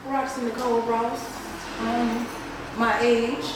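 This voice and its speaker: A sassy woman contestant dodges questions with a higher-pitched voice. She sounds far from the mic in an echoey room. A hum of background noise sits under her. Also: flat delivery, slow. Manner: evasive, sassy. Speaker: dating show contestant.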